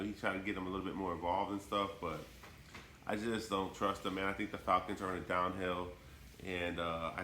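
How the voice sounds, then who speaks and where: A man's voice: sits low, pushed and bright, echoey room, slight background noise. A man with a congested voice from a cold, co-hosting a sports podcast in a laid-back way full of fillers. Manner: laid-back, lots of "you know" and "um". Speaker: podcast co-host. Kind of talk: casual sports podcast chat.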